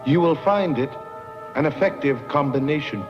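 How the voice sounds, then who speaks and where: A man's voice: on the high side, expressive, normal speed, muffled recording, loud music behind the voice, natural voice. A man playing a cold, controlling captor in a drama, speaking in formal, clinical, menacing terms. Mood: cold menace.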